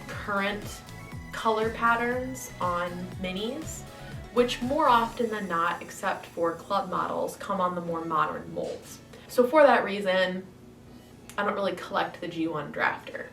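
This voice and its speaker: A woman's voice: mid-range voice, a bit bright, far from the mic. A young woman from the Midwest chatting enthusiastically to her camera, sounding nasal and congested from a winter cold. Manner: chatty, enthusiastic, rambling with fillers. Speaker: model horse collector and channel host. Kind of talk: casual vlog talk to camera. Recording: echoey room, voice a bit off-mic, faint music.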